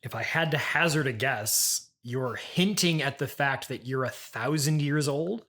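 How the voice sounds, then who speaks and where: A man hosting an improvised comedy interview, deadpan and probing, with a hesitant way of putting his questions. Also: mid-range voice, a little slow. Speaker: podcast host, interviewer.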